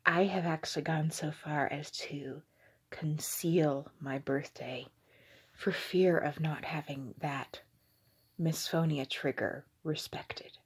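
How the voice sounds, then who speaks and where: A young adult woman giving a whispered, halting ASMR monologue in a breathy voice, recorded indoors on a phone held at arm's length. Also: deep voice. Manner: slow, hesitant, halting. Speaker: ASMR video creator. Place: small indoor room with curtains.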